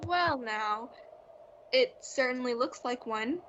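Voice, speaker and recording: A woman's voice: a little high, lively intonation, slow pace, fairly bright tone, slight background noise. A woman playing an earnest, cautious small-town citizen in an old-time radio drama. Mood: puzzled. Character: earnest townsperson, cautious, formal at meetings. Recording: dull lo-fi audio.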